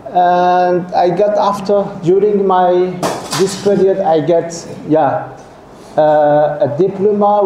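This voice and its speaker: A man's voice: fairly high pitch, dark tone. A middle-aged man, giving a seminar lecture to students as a guest professor, often stopping to check in with the audience and restarting phrases. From Algeria. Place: lecture hall. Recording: echoey lecture hall with faint noise.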